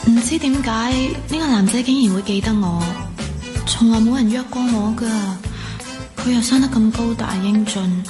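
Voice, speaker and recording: A woman's voice: fairly high pitch, moderate pace, over music, natural voice. An actress playing a modest, reserved young office woman in a Cantonese radio drama. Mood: shy, wistful. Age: young adult.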